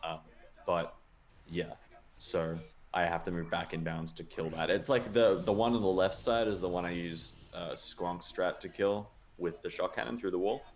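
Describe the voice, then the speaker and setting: A man's voice: sits low, fairly even intonation, moderate pace. A man explaining his own gameplay video in casual chat, rambling with lots of likes and frequent laughs. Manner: rambling, filler-heavy with many likes, laughs often. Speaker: guest, speedrun video creator.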